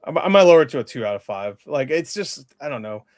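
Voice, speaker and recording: A man's voice: medium pitch, sing-song, quick pace, darkish tone, dry recording. Rambling, blunt man reviewing movies, dry close sound. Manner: rambling, emphatic, blunt with casual swearing. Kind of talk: casual film review chat.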